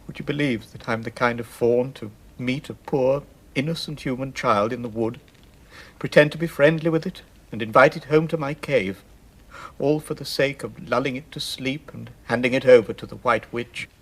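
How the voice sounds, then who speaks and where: A man playing a gentle, timid faun in a radio theatre storybook drama, fretful and self-reproachful, with halting, theatrical delivery. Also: medium pitch, rough recording. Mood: guilty confession.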